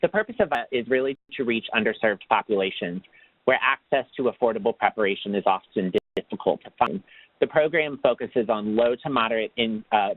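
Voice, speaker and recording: A man's voice: mid-range voice, no echo. Man presenting over a tinny phone line. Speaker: webinar presenter, tax assistance program manager. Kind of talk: presentation read from slides. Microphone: phone or conference line.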